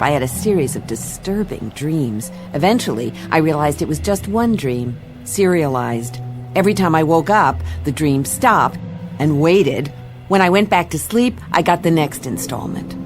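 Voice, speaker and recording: A woman playing a wry, deadpan adventurous traveller in a radio play, with unhurried delivery. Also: sits low. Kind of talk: radio play narration and dialogue.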